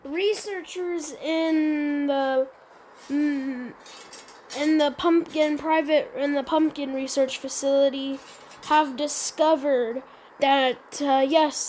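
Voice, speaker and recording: A high-voiced woman plays announcer for a homemade emergency bulletin. She speaks haltingly, often doubling back on her phrases, over clear background noise. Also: rough recording. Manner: halting, with frequent stumbles and repeated phrases. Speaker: announcer in a hobby emergency alert scenario. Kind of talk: improvised emergency news announcement, amateur role-play.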